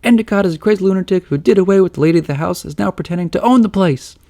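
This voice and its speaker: A man's voice: fairly high pitch, a little slow, creaky, a little mellow, dry recording, studio quality, pitched above the performer's own voice. A man voicing a nervous, whimpering male talking horse. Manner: fretful, whimpering.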